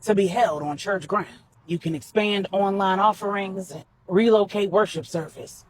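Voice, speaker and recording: A woman storyteller voicing a commanding, protective male crime boss with a measured, persuasive manner. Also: medium pitch, slight background noise, lightly acted. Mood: assured, persuasive.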